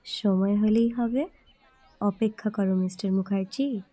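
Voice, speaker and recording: A woman's voice: medium pitch, measured pace, warm tone, natural voice. An actress playing a coy young woman in love in an audio story, teasing and playfully scolding.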